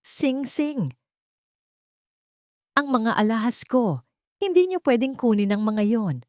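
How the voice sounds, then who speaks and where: Female narrator as haughty Filipina heiress, audio story; hysterical, raised pitch, animated pleading. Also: slow, no room sound, clean studio sound. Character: haughty rich woman, panicked and hysterical.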